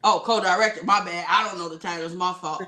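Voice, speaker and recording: A man's voice: high voice, fairly even intonation, fast, a loud crowd. A man hosting a casual live podcast, laid-back and slangy, with an Ohio accent. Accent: American English, Ohio. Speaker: podcast host.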